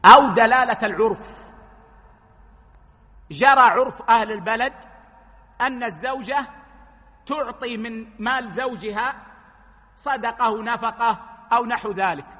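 A man teaching a religious lesson, explaining rulings step by step and quoting sayings with slow emphasis. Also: extremely high pitch, sharp tone, long reverb tail. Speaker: preacher.

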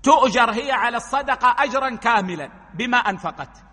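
A man gives a religious lesson in a high, bright voice, laying out each ruling step by step. The sound carries a long reverb from a hall. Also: expressive, fairly quick. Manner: explains rulings step by step, quoting hadith. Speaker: preacher.

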